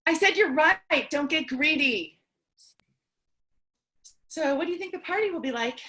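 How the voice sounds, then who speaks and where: An actress playing a lonely, anxious, chatty survivor of the apocalypse in a staged reading, with a dramatic, rambling delivery. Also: female voice, high-pitched, animated, unhurried, pushed and bright, heavily acted. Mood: chatty, musing. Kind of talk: staged reading of a theatrical fable.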